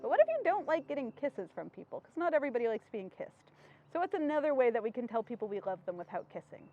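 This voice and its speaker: A woman leads an interactive read-aloud for small children. Her voice is high and swings widely as she poses simple questions and answers them herself. The recording is slightly muffled. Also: sing-song, quick pace, faint music behind. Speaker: children's storytime host.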